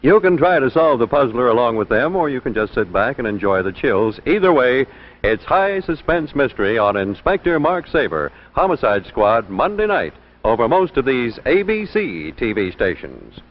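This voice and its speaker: A man's voice: medium pitch, sing-song, moderate pace. A man announcing programme promotions from a script in 1950s broadcast style. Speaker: television announcer. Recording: dull old 1950s broadcast.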